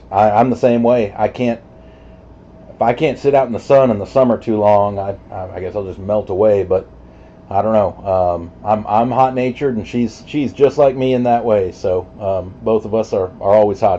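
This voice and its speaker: A man's voice: fairly low pitch, normal speed, mellow. A man chatting casually over CB radio in a rambling, easygoing way, with some hesitation. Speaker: CB radio operator chatting on channel. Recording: dull CB radio audio, faint noise.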